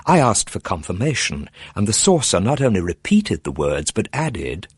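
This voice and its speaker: A man's voice: fairly low pitch, big pitch swings, moderate pace, slightly rough, no room sound, studio quality. A man narrating a horror story in a theatrical, suspenseful way. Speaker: audiobook narrator.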